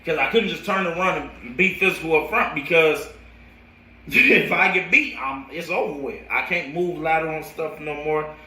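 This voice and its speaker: A young American man telling his own story casually in slangy, animated talk, as a coach would to friends. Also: on the high side, moderate pace. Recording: roomy echo, distant from the mic.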